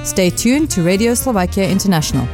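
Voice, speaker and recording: A woman's voice: medium pitch, animated, fast, over loud music. A woman hosting a radio program, presenting scripted introductions and interviewing guests. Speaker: radio host.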